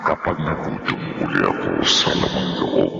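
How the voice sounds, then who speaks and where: A man playing a male aswang monster hungry for blood and entrails, muttering in a gravelly, menacing way in a horror radio drama. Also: very deep voice, very animated, distant mic, dull audio, heavy background noise, heavily acted. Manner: slow, menacing inner monologue. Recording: echoing voice over music.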